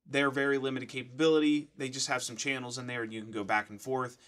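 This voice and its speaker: A man's voice: medium pitch, level tone, quick pace, a bit bright. A man in his thirties with an American accent, giving a casual, plain-spoken explanation to camera as the host of a how-to channel. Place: small furnished room at home, indoors.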